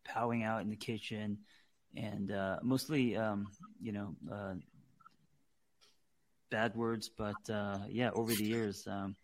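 Korean-born guy hosting, lowish voice, lots of uh and you know, supportive. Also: little pitch movement, slow, a little hiss or hum. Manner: encouraging, affirming, many fillers. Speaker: podcast host. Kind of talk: casual interview.

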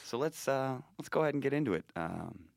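A man's voice: medium pitch, very animated, fairly quick, creaky, a little mellow, no echo, clean studio sound. A man hosting a podcast in casual chat, a bit nasal from a cold, rambling and restarting his sentences with jokes. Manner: rambling, self-interrupting, joking. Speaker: podcast host.

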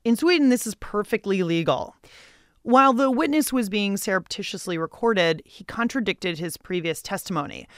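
A woman's voice: medium pitch, lively intonation, normal speed, smooth, no echo, studio quality. A woman hosting a radio show, reading scripted public radio narration.

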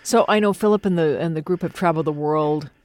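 A woman's voice: sits low, fast talker, a little mellow, no room sound. An American woman hosting a conversational radio interview in an effusive, exclamatory way. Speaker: radio host.

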